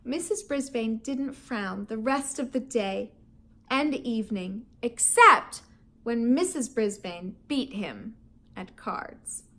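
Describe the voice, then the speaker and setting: A woman teacher reads a children's novel aloud in an unhurried, expressive way. Her voice is high and bright, and her pitch swings widely as she tells the story. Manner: expressive, animated storytelling with laughs and gasps.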